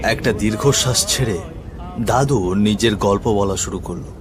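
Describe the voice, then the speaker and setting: A man's voice: fairly low pitch, normal speed, a crowd in the background. A man narrating a scripted cartoon ghost story in a dramatic storytelling style.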